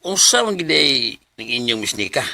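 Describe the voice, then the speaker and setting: A man's voice: medium pitch, sing-song, moderate pace, creaky, pushed and bright, no room sound, heavily acted. An actor playing a nosy, blunt male buddy in a radio drama, questioning and teasing with a Cebuano accent. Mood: prying, scolding.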